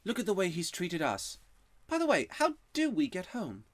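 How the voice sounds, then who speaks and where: A lifted, boyish voice, brisk and practical, that jumps up eagerly when he is surprised, as a man puts him on. He is a curious, plucky young boy in a storybook reading. The recording is dry and close. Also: sits high, wildly swooping pitch, no room sound, pitched above the performer's own voice, heavily acted. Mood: matter-of-fact.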